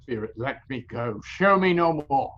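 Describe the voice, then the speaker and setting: A man's voice: medium pitch, lively intonation, unhurried, a bit bright, dull audio. A man playing an old miser in an audio drama, anguished and pleading, breaking into whispers and cries. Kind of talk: theatrical audio drama acting.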